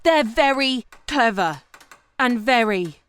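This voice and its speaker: An actress playing an earnest, bossy writer turned amateur detective in a comedy audio drama, speaking in a pompous, precise way. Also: female voice, on the high side, lively intonation, takes it slowly, background noise. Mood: pompous, methodical.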